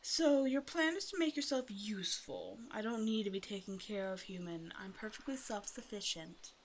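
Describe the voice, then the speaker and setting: A woman's voice: medium pitch, lively intonation, normal speed, close to an everyday voice, poor audio. Teasing predatory naga woman acting over background noise. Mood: condescending, dismissive. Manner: teasing, condescending, casual conversational menace. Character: teasing predatory female naga, bored, self-sufficient, menacing. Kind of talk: ASMR roleplay, one-sided scripted dialogue to the listener.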